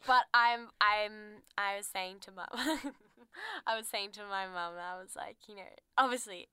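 Bright, giggly young Australian woman. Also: mid-range voice, a little slow, clear, resonant tone, no room sound. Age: young adult. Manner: giggly, chatty, lots of "like". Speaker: podcast co-host. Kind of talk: casual chat.

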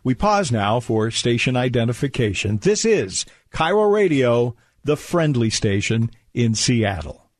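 A man's voice: medium pitch, very animated, a little slow. A man announcing and narrating a radio play in a scripted 1950s broadcast style.

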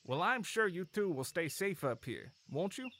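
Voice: medium pitch, big pitch swings, moderate pace, a bit bright. An actor playing a casual but wary forest officer in an audio drama, questioning strangers. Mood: suspicious, casual.

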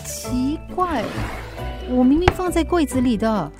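A woman's voice: high voice, expressive, a little slow, over music. An actress with a Singaporean accent playing a middle-aged, teasing and mildly exasperated mother in a radio drama, with chiding, playful banter. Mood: fretful. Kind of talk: Mandarin radio drama acting.

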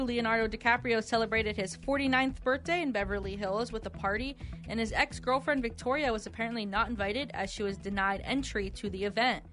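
A woman's voice: on the high side, fairly bright tone. A woman reading trivia questions as a quiz host on morning radio, in a studio with music underneath. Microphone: studio radio microphone.

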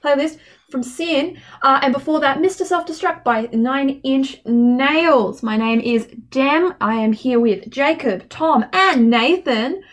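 A woman's voice: sits high, slow. A woman co-hosting a student radio show, playful and teasing as she runs a quiz. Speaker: radio co-host, quizmaster. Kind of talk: casual radio chat.

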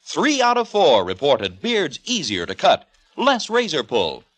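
Very animated male radio ad announcer. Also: fairly high pitch, unhurried. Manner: emphatic, assured selling. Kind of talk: 1950s radio advertising pitch.

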